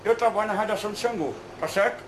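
Man channeling a high-voiced, folksy Brazilian spirit guide. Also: fast, natural voice. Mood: folksy, assertive. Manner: colloquial, playful, repeats short phrases for emphasis. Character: folksy, teasing male Bahian spirit guide Zé do Coco. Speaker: spirit medium answering questions. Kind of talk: informal spiritual dialogue. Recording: roomy, echoing, clearly noisy amateur recording.

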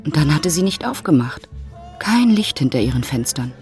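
Detached narrator of a city love story, radio drama, faint music underneath. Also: male voice, a little high, very animated, moderate pace. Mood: matter-of-fact. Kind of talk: scripted literary narration in a radio play.

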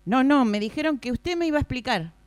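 A woman's voice: sits high, expressive, fast talker, no room sound. A woman with an Argentine accent playing a naive, pushy, flustered new office clerk who repeats herself and stammers, in a radio play. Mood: puzzled, evasive. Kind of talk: improvised radio play acting.

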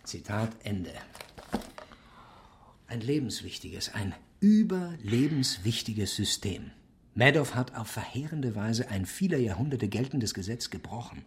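A man's voice: on the low side, lively intonation, breathy edge, heavily acted. Emphatic, self-assured male intellectual. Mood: lecturing, emphatic. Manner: lecturing, deliberate, emphatic. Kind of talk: German radio drama dialogue.

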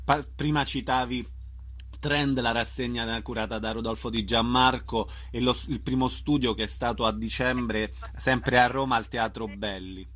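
A man interviewing a guest in a conversational way, forming his questions haltingly. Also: mid-range voice, steady tone, a bit bright. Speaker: radio interviewer.

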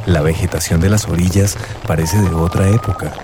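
A man's voice: low-pitched, fairly even intonation, brisk, music in the background, natural voice. An actor playing an earnest young man in love, searching and telling his story in a radio drama.

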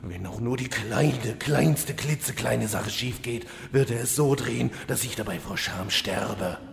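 A man's voice: medium pitch, measured pace, vocal fry, big-room sound. A man playing a vain, insecure, fussy demon lord in a fantasy parody audio drama, ranting in theatrical exasperation. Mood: irritated.

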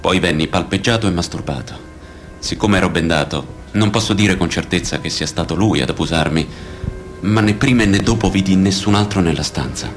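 A man's voice: low voice, a little fast, soft background music, lightly acted. An actor playing a grave male witness and narrator, reciting testimonies formally and solemnly in a radio theatre style. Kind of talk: scripted radio theatre reading.

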